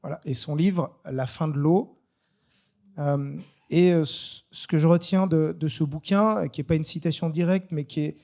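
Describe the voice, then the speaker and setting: A man hosting an informal live panel, speaking in a hesitant, halting way. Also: fairly high pitch, fairly even intonation, vocal fry, dark tone. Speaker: panel moderator.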